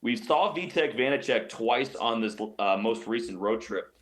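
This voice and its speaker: A man's voice: mid-range voice, expressive, normal speed, fairly bright tone. A man giving sports analysis as an interview guest, explaining his points emphatically and often repeating phrases. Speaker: radio play-by-play announcer as guest.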